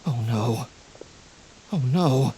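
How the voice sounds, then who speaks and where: A man's voice: mid-range voice, expressive, drawn-out words, mellow, a little hiss or hum, low-quality recording. A man voicing a remorseful male academy scholar who speaks in an anxious, apologetic way. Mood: dismayed. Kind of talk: game voice acting.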